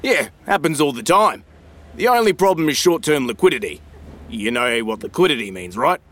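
An actor playing a slippery, matey Australian financial dealer in an audio drama, full of slangy, glib sales patter. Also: male voice, medium pitch, very animated, moderate pace, fairly bright tone. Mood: glib, amused.

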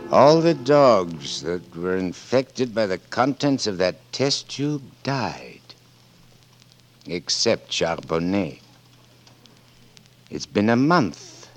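A male actor in a 1940s radio drama playing an earnest, weary, reflective scientist with a deliberate manner. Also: low-pitched, a little hiss or hum, exaggerated voice. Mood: puzzled. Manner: deliberate, ruminative.

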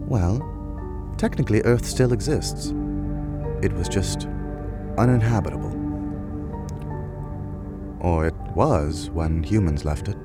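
An actor playing a gentle, bookish man who earnestly explains theories in an audio drama. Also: deep voice, slow pace, long pauses. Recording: studio radio play with music underneath.

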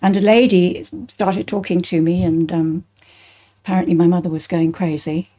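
A woman's voice: lowish voice, fairly even intonation, moderate pace, mellow. An older woman with an English accent, recounting personal memories in conversation as an interview guest. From Bristol, England.

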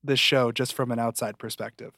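Mid-pitched man in casual podcast conversation. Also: flat delivery, a little fast, sharp tone, no room sound, studio quality. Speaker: podcast co-host. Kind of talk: casual TV review podcast chat.

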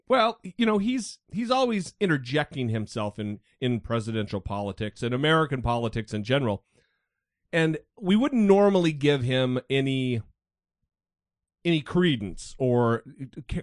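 A man's voice: mid-range voice, dry recording, studio quality. A man co-hosting a podcast, chatting sarcastically and dismissively about politics. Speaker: podcast co-host. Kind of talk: casual political chat.

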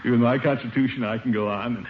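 An actor playing a tired, boastful middle-aged suitor with a chuckling, blustering drawl in 1950s radio comedy style. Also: male voice, mid-range voice, steady tone, quick pace, slight background noise, lightly acted. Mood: smug, sleepy. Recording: dull old radio recording, music behind.

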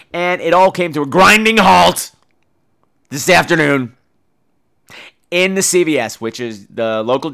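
A man's voice: medium pitch, fairly bright tone. An American man hosting a podcast, telling comic personal stories in a theatrical, campy and profane manner. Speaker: podcast host.

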